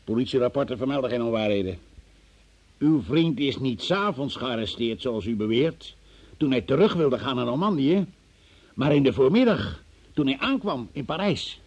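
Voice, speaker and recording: An actor playing a stern, cold examining magistrate in a radio play, questioning formally and relentlessly with a gravelly, husky voice. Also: male voice, mid-range voice, animated, a little mellow, muffled recording. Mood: accusing, stern. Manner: formal, accusing, deliberate. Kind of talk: Dutch radio play interrogation acting.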